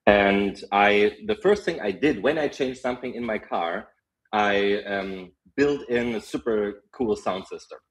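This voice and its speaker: A young man with a German accent, a podcast guest telling lively stories in casual chat, full of fillers. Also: lowish voice, a little slow, poor audio. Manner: animated storytelling, frequent fillers and laughs.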